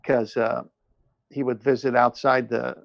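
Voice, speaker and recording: A man's voice: medium pitch, little pitch movement, measured pace, vocal fry, no echo, dull audio. A man talking casually and at length, earnest and emphatic, often repeating his phrases. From born in Iran, moved to the United States at seven. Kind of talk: casual conversation, personal testimony and opinion.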